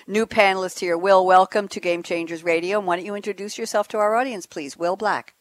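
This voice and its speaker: A woman's voice: medium pitch, steady tone, fairly quick. A woman hosting a radio talk show, upbeat and a little theatrical as she introduces guests.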